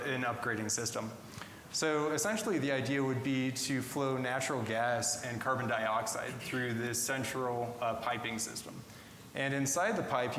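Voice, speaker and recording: Man, 30s, slightly raspy, steady science presenter, filler-heavy, heard far off in a reverberant ballroom. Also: medium pitch, slight background noise. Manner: steady explanatory delivery with frequent "uh" fillers. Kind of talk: conference technology presentation. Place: hotel ballroom with seated audience. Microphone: room PA, captured by far camera. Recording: echoey hall from far off.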